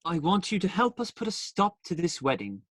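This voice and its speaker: An actor playing a bold, roguish, idealistic outlaw hero in a radio play. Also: male voice, high-pitched, sing-song, a little fast, fairly bright tone, dry recording, heavily acted. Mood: roguish persuasion.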